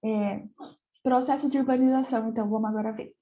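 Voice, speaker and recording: A woman teaching a geography class online, slightly hoarse from a cold, hesitating and repeating words as she explains. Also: a little high, steady tone, fast talker, dark tone, highs cut off.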